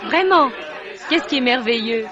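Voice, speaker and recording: An actress playing a brisk, sassy, hot-tempered young waitress, deadpan and quick-witted, in the style of 1950s French radio. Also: female voice, a little high, a crowd in the background, close to an everyday voice. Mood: dry teasing. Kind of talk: 1950s French radio comedy-drama acting. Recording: muffled vintage radio, background restaurant bustle.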